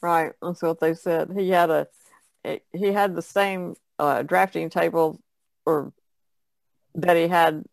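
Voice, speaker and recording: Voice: mid-range voice, steady tone, takes it slowly, gravelly, no room sound. A person in a casual podcast discussion, retelling things in a matter-of-fact way.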